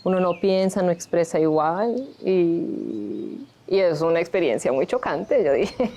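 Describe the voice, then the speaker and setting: A woman in her thirties who grew up in Colombia speaks in a lowish, mellow voice. She tells her own story for a documentary in a candid, reflective way. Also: lively intonation, a little slow, slight background noise. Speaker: interviewee, migrant shelter founder. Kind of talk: documentary interview.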